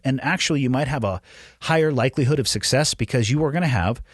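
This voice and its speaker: A man's voice: medium pitch, no echo, studio quality. Blunt man in his forties. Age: 40s. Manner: blunt, profane, conversational. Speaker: podcast host. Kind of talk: solo Q&A advice segment.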